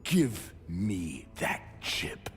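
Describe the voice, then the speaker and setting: Domineering military captain, formal, animated delivery, cavernous echo, music underneath. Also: male voice, sits low, drawn-out words, exaggerated voice. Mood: stern. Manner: imperious, formal. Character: domineering, hot-tempered military captain barking orders. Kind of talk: cinematic video game acting. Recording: long reverberant hall, music behind.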